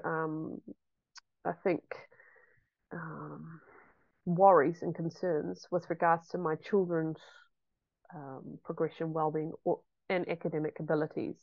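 A woman's voice: low voice, no echo, muffled recording. A woman, a homeschooling mother chatting reflectively in an interview with frequent ums. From New Zealand. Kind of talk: casual interview chat.

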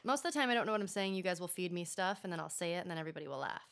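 A woman's voice: fairly low pitch, lively intonation, rapid-fire, gravelly, fairly bright tone. A woman co-hosting a podcast in casual chat, playful and joking dryly. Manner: playful, dry jokes. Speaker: podcast co-host.

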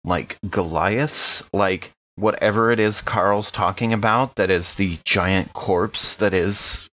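A man playing a low-voiced, earnest carny. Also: fairly even intonation, slow pace, a bit bright. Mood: earnest, curious. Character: easygoing male carnival worker, earnest and inquisitive. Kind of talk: improvised in-character tabletop dialogue. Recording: slightly dull, lo-fi microphone sound.

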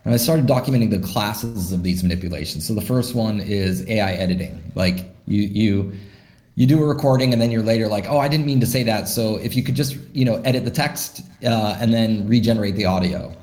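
A man answers interview questions in a slightly low voice, thoughtful and loose, full of "you know" and restarts. His voice carries a roomy echo, as if recorded in an open room. He sounds like a founder talking through his ideas. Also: brisk, a little mellow. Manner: thoughtful, with many fillers and restarts. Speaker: startup founder, interview guest.

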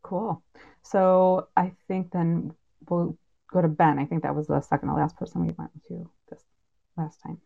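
A woman's voice: lowish voice, level tone, normal speed, gravelly, warm tone. A woman casually moderating a panel, speaking unscripted as she passes questions to the guests. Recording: slightly dull, muffled sound.

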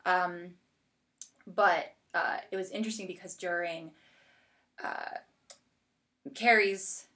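A woman's voice: lowish voice, fairly even intonation, slow pace, pauses often, sharp tone. Casual woman podcast host. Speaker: podcast host interviewing a guest. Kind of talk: casual chat about TV shows.

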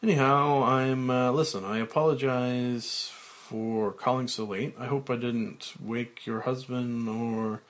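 A man's voice: medium pitch, fairly even intonation, slow pace, few pauses, lightly acted. A man voicing a brash, short-tempered TV producer who snaps and blusters. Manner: snappy, blustering.

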